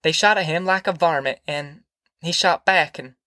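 A man's voice: fairly high pitch, moderate pace, bright, forceful tone, no room sound, clean studio sound. A man voicing an anxious, tender middle-aged farm mother in an audiobook, with a rural Southern American accent and a hesitant, earnest way of talking. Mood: earnest, pouring out. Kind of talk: audiobook character acting.

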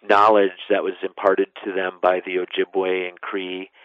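Low-voiced man on a phone line. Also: monotone, unhurried, dry recording. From west central Wisconsin, United States. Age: middle-aged. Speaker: interview guest, national park superintendent. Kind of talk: conversational interview answers. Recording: narrow phone-line sound.

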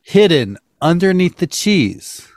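A man hosting a podcast in casual chat, telling things with drawn-out emphasis. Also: sits high, very animated, a little mellow, no echo, clean studio sound. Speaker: podcast host.

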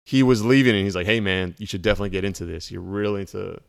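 Male guest coach, lowish voice, relaxed friendly conversational tone. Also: very fast, gravelly, clear, resonant tone. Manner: friendly, easygoing, says "like" and "you know" often. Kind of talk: casual interview chat.

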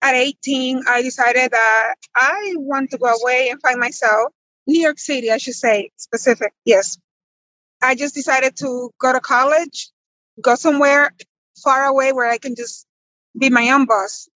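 High-voiced woman in casual interview. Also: fairly even intonation, normal speed, pushed and bright, no echo, poor audio. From Dominican Republic. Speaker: guest, pig farmer. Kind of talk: conversational interview answers.

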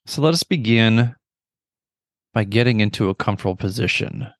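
A middle-aged man leading a guided meditation with measured, gentle, instructional phrasing and pauses between phrases. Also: on the low side, animated, dry recording.

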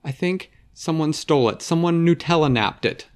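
A man's voice: moderate pace, smooth, close to an everyday voice. A man voicing a worried young girl in a storybook, pleading in a raised voice. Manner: pleading, higher-pitched.

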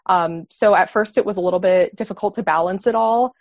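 A woman of about twenty from the Boston area, a student guest on a panel who talks in a direct, quick way. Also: mid-range voice, darkish tone, no echo, studio quality. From Boston area, USA. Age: around 20. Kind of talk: discussion on a panel.

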